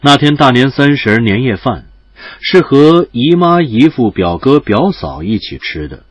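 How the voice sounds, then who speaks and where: Animated male audio drama narrator. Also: mid-range voice, unhurried, breathy edge, dry recording.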